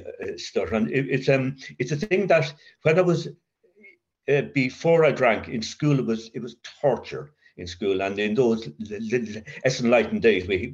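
Irish man, personal recovery share, halting stammer, frequent restarts, fairly low voice, muffled lo-fi sound. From Ireland. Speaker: speaker at a recovery meeting.

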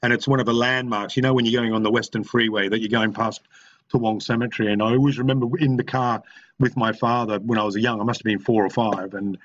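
A man's voice: fairly low pitch, steady tone, quick pace, dull audio. A middle-aged man with an Australian accent, sharing his personal story frankly and reflectively at a recovery meeting, often stopping and restarting his sentences. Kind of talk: personal testimony.

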